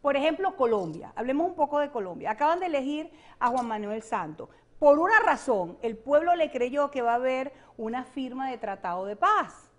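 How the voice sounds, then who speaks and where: A woman's voice: sits high, lively intonation, moderate pace, hardly any pauses. A Venezuelan woman, a political analyst and guest, speaking with passion and emphatic repetition in a studio interview. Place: television studio set.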